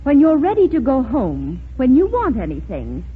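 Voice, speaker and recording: On a hissy, narrow old radio recording, a protective middle-aged aunt in a highish, animated voice. She is stern, proper and tart, in 1940s radio drama style. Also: normal speed, full character voice. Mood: resigned, fond. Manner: crisp-worded, proper, tart.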